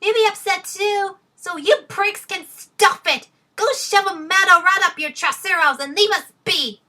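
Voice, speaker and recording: A woman voicing an earnest, plucky young boy musician in a fan audio drama, eager and quick to bristle. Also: extremely high pitch, pushed and bright, heavily acted. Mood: indignant. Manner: eager, boyish delivery.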